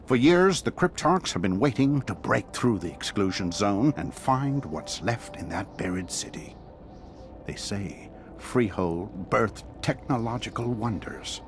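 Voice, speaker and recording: An actor playing a resolute, earnest sci-fi advisor, giving a serious mission briefing in a video game. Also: male voice, on the low side, expressive, a little slow. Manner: serious, expository. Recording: music behind.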